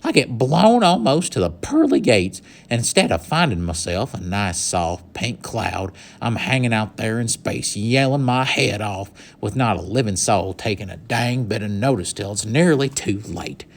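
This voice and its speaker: A man's voice: on the low side, animated, moderate pace, few pauses. A man voicing a tiny, self-pitying cowboy with a twangy drawl. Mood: bitter.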